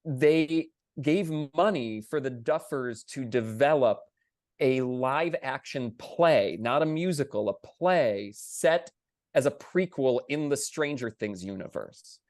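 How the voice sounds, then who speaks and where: A man's voice: mid-range voice, lively intonation, slow, a little mellow, no room sound. A man with a mid-range voice talks on a panel about his field. His delivery is emphatic, often built on rhetorical questions, and the sound is close and dry. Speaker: theater panelist. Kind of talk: panel discussion.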